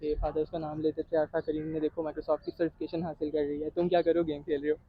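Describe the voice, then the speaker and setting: A man's voice: fairly high pitch, completely flat delivery, fast, phone-quality sound. A man in his late teens with a Pakistani accent, talking casually as an interview guest and mixing in English. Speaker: interview guest, young tech entrepreneur.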